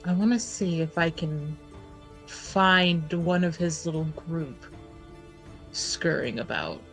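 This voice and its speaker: A woman playing a tabletop roleplaying game, casually musing out loud over what her character should do. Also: deep voice, expressive, slow pace, gravelly, faint music behind.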